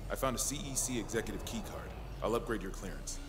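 A man playing a gruff, practical officer in command in a naturalistic video game performance. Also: fairly low pitch, fast talker, over music, lightly acted. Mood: guarded, businesslike. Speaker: security officer, team leader.

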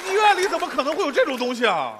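A man's voice: very high-pitched, wildly swooping pitch, racing. A man in his thirties performing as the exasperated straight man of a stand-up comedy duo on a large studio stage. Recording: noisy studio stage with loud crowd.

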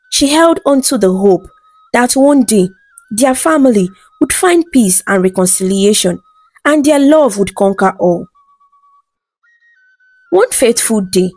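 Higher-voiced woman narrating a folktale. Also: darkish tone, no room sound. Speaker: storyteller.

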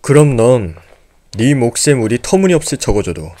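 A man voicing a calm young male leader in an amateur audio drama, speaking firmly and in a measured way. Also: mid-range voice.

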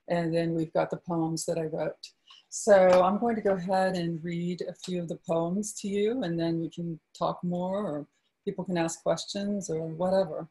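A woman poet reads aloud in a slow, soft-spoken way, chatting casually between poems. Her voice is dark and mellow, a little husky, and sits slightly low. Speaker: poet at a book launch. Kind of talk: poetry reading with casual asides.

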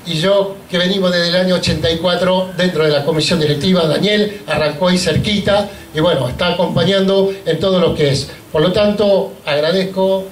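A middle-aged Argentine man speaks at an inauguration, thanking the crowd in halting, emotional phrases. His voice sits fairly high for a man. The handheld mic picks him up in a big reverberant hall with a faint hum of noise behind. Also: fairly even intonation. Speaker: union leader giving a speech. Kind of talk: public speech at an inauguration. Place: large event hall, indoors. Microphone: handheld microphone on a stand.

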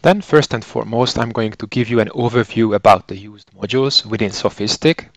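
A man in his forties with a Hungarian accent delivers scripted instructional narration as a trainer. His voice sits fairly low. He explains things methodically, recorded close and very dry with no room sound. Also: a little fast, no room sound. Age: 40s. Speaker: software trainer and consultant engineer.

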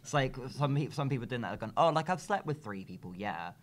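A man's voice: medium pitch, big pitch swings, fast talker. A man in his early twenties chatting casually and informally, peppering his talk with "like". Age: 20s. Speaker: podcast guest. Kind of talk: casual chat. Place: meeting room indoors. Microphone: shared table microphone.

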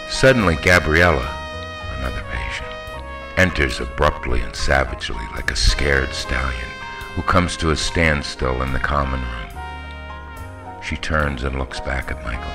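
A man with an extremely low voice narrates literary fiction in the manner of an audiobook. The reading is scripted prose, and music plays clearly behind the voice.

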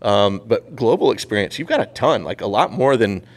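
Lowish-voiced male podcast host, halting delivery. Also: expressive. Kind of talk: casual chat.

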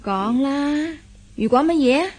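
High-voiced, probing mother. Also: lively intonation, a little slow, a little mellow. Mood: teasing, probing. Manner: coaxing, knowing, inquisitive. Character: caring, probing mother sharing secrets with daughter. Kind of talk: Cantonese radio drama acting.

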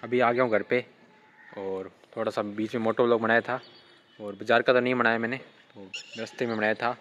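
A man's voice: mid-range voice, a little fast, loud music behind the voice. A young man in his twenties chatting casually to viewers in a vlog, filmed outdoors on a phone held at arm's length. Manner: relaxed, chatty, addressing viewers directly. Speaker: vlogger. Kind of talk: casual vlog talk to camera. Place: outdoors, rural fields and village rooftop.

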